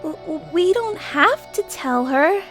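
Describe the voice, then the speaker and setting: A woman acts out a young winged pony girl with a high voice. She is dreamy and excitable, musing theatrically or stammering when flustered. Music plays clearly underneath, as in a cartoon radio play. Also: slow pace, full character voice. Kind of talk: animated fan audio drama acting.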